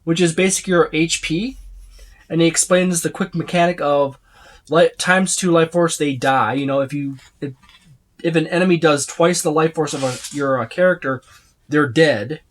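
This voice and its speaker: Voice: medium pitch, normal speed. Casual, rambling tabletop rulebook reviewer. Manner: rambling, repetitive, emphatic itemizing. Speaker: tabletop game book reviewer. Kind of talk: casual unscripted overview of a rulebook.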